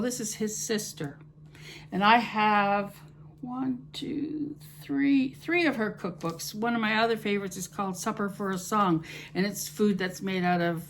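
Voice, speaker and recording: A woman's voice: mid-range voice, a little hiss or hum. A middle-aged woman with a Canadian accent, hosting a home cooking channel and chatting to camera in a rambling, friendly way. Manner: rambling, friendly, with fillers and restarts. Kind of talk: chatty vlog talk to camera.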